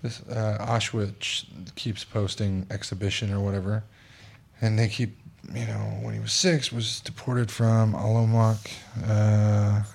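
A man's voice: fairly low pitch, flat delivery, a little slow, vocal fry, darkish tone. A man hosting a casual podcast, chatting bluntly and reading news posts aloud. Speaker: podcast host.

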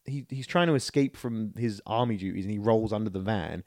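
A man co-hosting a podcast, talking casually and in a rambling, animated way about films and games. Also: fairly low pitch, fairly quick, no echo, studio quality. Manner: animated, rambling, acts out quotes. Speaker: podcast co-host. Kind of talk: casual film and video game chat.

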